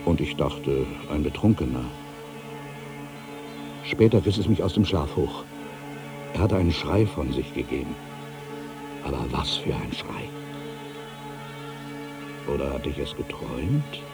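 Brooding ageing writer, very deep voice. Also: male voice, animated, music in the background, heavily acted. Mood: musing. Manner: theatrical, musing, with rhetorical questions and pauses. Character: ageing male writer, brooding, bitter, addressing his past. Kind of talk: literary radio drama monologue.

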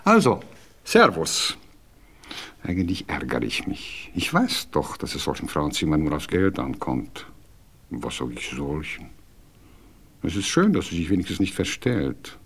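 A sentimental Viennese gentleman in a radio play, with a low and husky voice. He is hungover and awkward, questioning and musing to himself in widely swinging tones. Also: huge pitch swings, unhurried, heavily acted. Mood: musing, rueful. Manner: hesitant, inquisitive, rambling to himself. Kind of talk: naturalistic radio play acting.

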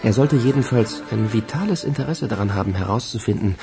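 An actor playing a sly, easygoing police detective in a radio crime drama, teasing and conspiratorial. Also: male voice, fairly low pitch, expressive, brisk, creaky, music in the background. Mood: teasing, businesslike.

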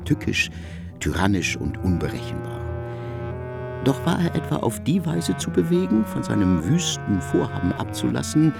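A man playing an erudite narrator in a literary radio drama, speaking eloquently with deliberate phrasing. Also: mid-range voice, sing-song, creaky, music in the background. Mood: erudite, expressive. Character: erudite male narrator of a biblical epic.

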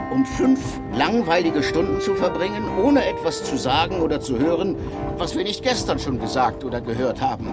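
An actor playing a sour, unsociable gentleman in a German radio play, grumbling formally and bitingly. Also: fairly high pitch. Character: grumpy, unsociable English gentleman brother-in-law, sour and disdainful.

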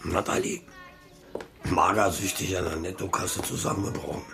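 A man's voice: low-pitched, fairly even intonation, normal speed, vocal fry, pushed and bright, full character voice. An actor playing a simple-minded, childlike male killer in a German radio drama, naive and eager to please.

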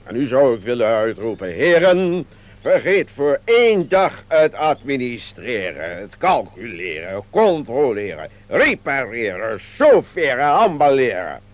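A man's voice: medium pitch, big pitch swings, a little slow. An actor playing a pompous company director, declaiming a speech to his staff in a Dutch radio play. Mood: pompous, rousing. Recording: dull, noisy old radio recording.

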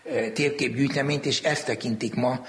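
A man being interviewed as a museum director, explaining history in formal, deliberate, scholarly phrasing. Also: mid-range voice, steady tone, fairly bright tone, slight background noise. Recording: echoey, reverberant hall.

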